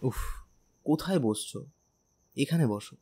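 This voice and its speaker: A man's voice: mid-range voice, animated, a little slow, warm tone, breathy edge. A man playing a tender, teasing young bridegroom in a romantic audio drama, speaking earnestly and persuasively. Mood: teasing, affectionate. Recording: music bed under the voice.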